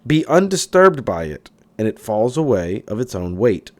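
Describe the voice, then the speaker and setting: A man reading a self-help book aloud as an audiobook narrator, with expressive, emphatic delivery. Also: on the low side, normal speed. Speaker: audiobook reader.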